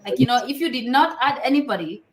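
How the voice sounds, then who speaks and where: A woman's voice: high-pitched, lively intonation, quick pace, echoey room. Chatty woman hosting a live stream. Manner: chatty, laughs often, many uh fillers. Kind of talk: casual live-stream chat.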